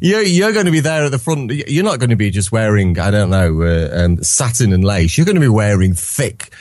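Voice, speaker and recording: A man's voice: lowish voice, big pitch swings, fairly quick, darkish tone, no echo, studio quality. A man hosting a podcast, chatting casually with tag questions and stumbling restarts. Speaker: podcast host. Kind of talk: casual conversation.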